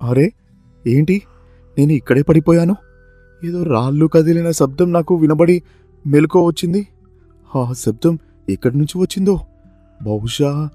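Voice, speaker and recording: An actor playing a poor, honest, wronged village farmer in a cartoon moral story, speaking in an emotional, exclamatory way. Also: male voice, on the high side, normal speed, long pauses, mellow, dry recording, soft background music, natural voice. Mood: puzzled, wondering.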